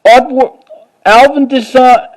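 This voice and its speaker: A man with a halting, word-repeating way of talking, doing a casual show-and-tell like an enthusiastic collector. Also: very high voice, steady tone, low-quality recording. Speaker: vlogger.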